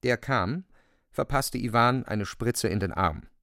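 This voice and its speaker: A man narrating a literary radio play in a deliberate, expressive storytelling manner, close to the microphone. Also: medium pitch, gravelly, no echo.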